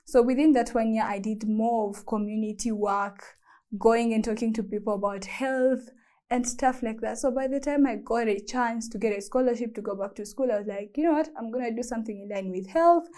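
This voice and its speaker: A woman's voice: a little high, a little fast, dark tone. A young woman on a panel, explaining her public health work in an earnest way. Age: young adult. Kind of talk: panel discussion.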